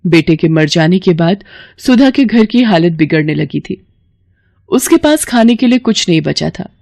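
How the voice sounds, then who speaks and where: A woman's voice: fairly low pitch, expressive, normal speed, gravelly, darkish tone, slight background noise. A woman storyteller reading scripted narration for a moral bedtime story.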